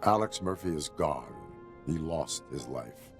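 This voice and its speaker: Stoic robot cop for a video game, really low, deadpan and formal. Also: male voice, measured pace, creaky, soft background music, full character voice. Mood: stoic, flat. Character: stoic male cyborg police officer, dutiful and grim.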